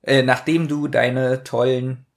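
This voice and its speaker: A man's voice: mid-range voice, steady tone, slow, gravelly. A man co-hosting a podcast in casual chat, putting in short, dryly teasing comments. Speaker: podcast co-host.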